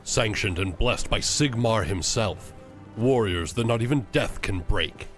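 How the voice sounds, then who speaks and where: A man playing a proud, zealous witch hunter in a fantasy audio drama, measured, formal and persuasive. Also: lowish voice, expressive, faint music behind. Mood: earnest, insistent.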